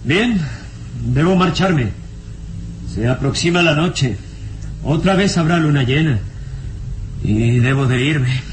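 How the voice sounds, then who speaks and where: A man's voice: fairly high pitch, takes it slowly, long pauses, a little hiss or hum, rough recording. An actor playing a possessive, brooding nobleman in an intense, melodramatic old radio drama style. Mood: troubled. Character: possessive, brooding nobleman suitor hiding a dark secret. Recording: vintage radio serial with music behind.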